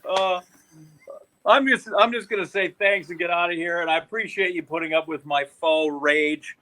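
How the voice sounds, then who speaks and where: Male American sports podcast host, fairly high and sharp-toned, joking and conversational. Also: fairly even intonation, normal speed, rough recording. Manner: joking, teasing. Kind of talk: casual sports chat.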